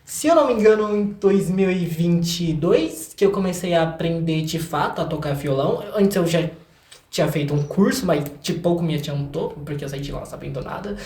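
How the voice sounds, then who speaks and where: A man's voice: on the high side, fairly quick, a little mellow. An eighteen-year-old Brazilian man chatting casually as an interview guest, telling stories with slangy asides and acted-out quotes. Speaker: guest, singer-songwriter. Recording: echoey room.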